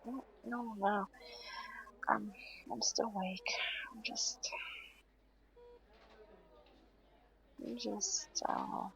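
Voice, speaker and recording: A woman playing a tired, stubborn but playful patient in an intimate audio roleplay, murmuring sleepily and haltingly, often close to a whisper. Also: medium pitch, very animated, a bit bright, full character voice, rough recording. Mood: sleepy, apologetic. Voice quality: breathy, often dropping to a whisper.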